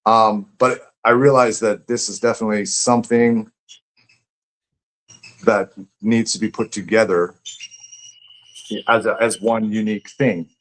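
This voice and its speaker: A man speaking casually as an interviewed poet, reflective and halting as he searches for his words. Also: fairly low pitch, steady tone, a bit bright, poor audio. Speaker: poet and author being interviewed. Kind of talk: casual conversation about own writing. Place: live online stream.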